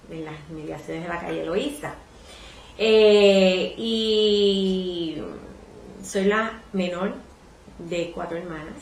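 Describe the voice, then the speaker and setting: A Puerto Rican woman in her sixties recalls her career as a librarian in a polite, measured, reflective way during an oral history interview. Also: mid-range voice, slight background noise. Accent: Puerto Rican Spanish. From Puerto Rico. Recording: echoey room.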